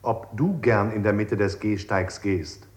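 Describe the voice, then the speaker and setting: A man's voice: lowish voice, unhurried, close to an everyday voice. An actor as a domineering male questioner, echoey. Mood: pressing. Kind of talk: stylised 1960s German radio play acting. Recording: echoey old radio play recording.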